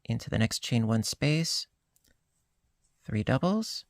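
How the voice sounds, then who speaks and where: A crochet instructor, slightly hoarse, calmly calling out each stitch in a step-by-step tutorial. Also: mid-range voice, dry recording, studio quality. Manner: calm, unhurried, talks through each stitch.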